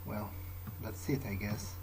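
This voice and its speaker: A man doing a casual in-character gaming monologue, musing in a low-key, hesitant way. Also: flat delivery, a little slow, background noise. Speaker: gamer roleplaying in character.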